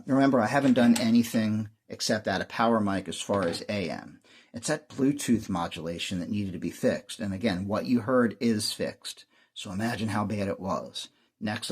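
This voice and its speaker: Low, husky-voiced man explaining a repair. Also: darkish tone. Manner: matter-of-fact, hands-on instructing. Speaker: host demonstrating radio repair. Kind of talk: unscripted tutorial explanation.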